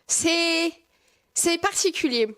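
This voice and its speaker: A woman's voice: very high voice, animated, slow pace, fairly bright tone. An 18-year-old woman from France answering interview questions thoughtfully, with frequent hesitations. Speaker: guest.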